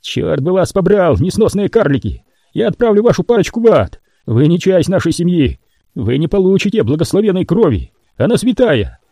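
A crazed male mutant played by a male narrator who gloats and snarls at his captives. The voice climbs well above the reader's own and gets loud, with husky edges. The recording stays dry and close. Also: sits high, big pitch swings, moderate pace, no room sound, pitched above the performer's own voice, full character voice. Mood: raging. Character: crazed, cruel male mutant with a rolling eye.

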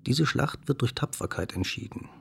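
A man reciting a literary radio-play monologue in a measured way, husky and gravelly, at times nearly whispering. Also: medium pitch, lively intonation, slight background noise. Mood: grave, didactic.

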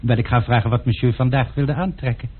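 An actor playing a sly, deferential manservant who was once a pickpocket, in a radio play with dry ironic remarks. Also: male voice, medium pitch, fairly even intonation, brisk, a little hiss or hum, natural voice. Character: sly, unflappable male valet, former pickpocket. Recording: muffled lo-fi archive radio play.

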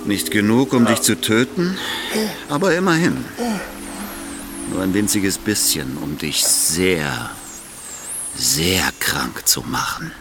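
Menacing Soviet apparatchik villain, very low voice, mocking and condescending, radio play with music underneath. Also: male voice, expressive, slow pace, pauses often, full character voice. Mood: coolly menacing. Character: smug, menacing Soviet party boss and killer. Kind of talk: German radio drama acting.

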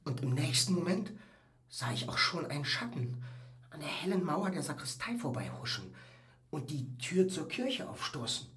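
Haunted young nobleman, higher-pitched, halting. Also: very animated, fairly bright tone. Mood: shaken, haunted. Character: sensitive young nobleman recounting an uncanny night, awestruck. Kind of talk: German radio drama acting.

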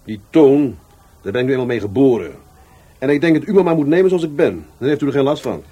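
An actor with a Netherlands Dutch accent playing a blunt, sardonic police adjutant who needles people, in a radio play. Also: male voice, mid-range voice, animated, fast talker, dark tone, husky. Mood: needling. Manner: sardonic, provoking.

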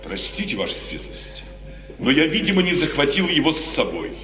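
An actor playing a cautious, dignified court official, deferential and hesitant with weighty pauses, in 1950s radio drama style. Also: male voice, on the high side, sing-song, pushed and bright. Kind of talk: 1950s Russian classical radio drama acting. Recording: distant, echoey old radio recording, music behind.

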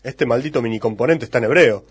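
A man's voice: medium pitch, quick pace, breathy edge, no room sound, close to an everyday voice. A man with an Argentine accent playing a suspicious, fussy priest in a comic radio sketch. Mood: fussy, exasperated.

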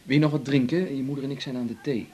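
A man's voice: medium pitch, fairly even intonation, very fast, dark tone, close to an everyday voice. A man the playing a polite, slightly awkward helper at home in a radio drama. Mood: attentive. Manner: hesitant, repeats himself. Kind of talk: naturalistic radio drama dialogue.

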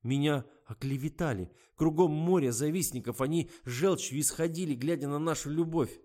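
A man's voice: on the high side, a little fast, mellow, pitched above the performer's own voice, lightly acted. A man voicing a frightened young actress who pleads and sobs, in an audiobook reading. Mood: pleading. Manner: pleading, tearful, ingratiating.

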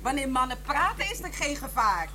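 A saucy, bantering woman with a very high, bright voice. She fends off flirts in radio drama dialogue with faint hum behind her. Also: big pitch swings, brisk, full character voice. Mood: teasing. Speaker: actress in a radio crime drama.

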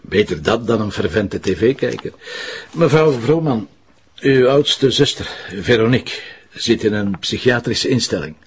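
A man's voice: mid-range voice, lively intonation, a little slow, a little hiss or hum, close to an everyday voice. An actor with a Flemish accent playing a courteous, wry police inspector in a radio drama, tactful in his questioning. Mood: courteous, probing.

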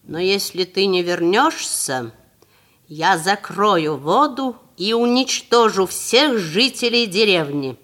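A woman voicing a stern, powerful male mountain spirit in a storybook, speaking slowly and commandingly. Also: medium pitch, expressive, a bit bright. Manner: slow, commanding, threatening.

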